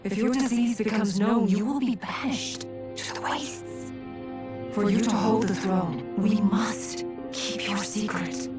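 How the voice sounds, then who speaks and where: A woman voicing a calm, dutiful young princess and sister in a video game drama, earnest and formal as she cautions. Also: mid-range voice, measured pace, far from the mic, music in the background, lightly acted. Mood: gently cautioning.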